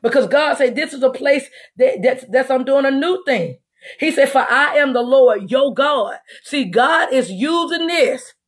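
A woman's voice: sits high, expressive, unhurried. A middle-aged woman preaching and praying in a fervent, emphatic, repetitive charismatic style. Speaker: apostle leading a livestream prayer broadcast. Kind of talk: fervent charismatic sermon and prayer. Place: room at home, indoors.

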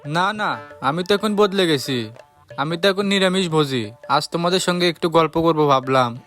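A man's voice: a little high, moderate pace, fairly bright tone, natural voice. Man voicing a sly, theatrical cartoon fox. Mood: sugary false friendliness. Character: sly, scheming male fox, sweet-talking but cowardly. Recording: music behind the voice.

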